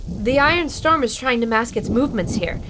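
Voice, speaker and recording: A woman plays an intrepid young reporter with a sharp, decisive way of speaking. Her voice is a little high and lively in pitch. A faint hiss sits underneath. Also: brisk, natural voice. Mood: determined. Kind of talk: audio drama acting.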